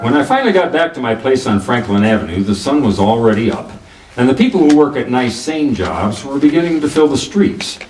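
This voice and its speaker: A wry, hardboiled private detective played by a male actor in an old-style radio play, self-assured and swinging widely in pitch. The sound is roomy and a little away from the microphone. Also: lively intonation, a little fast, darkish tone, soft background music, close to an everyday voice. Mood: weary wry. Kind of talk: 1940s radio detective drama acting with first-person narration. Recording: roomy echo, distant from the microphone.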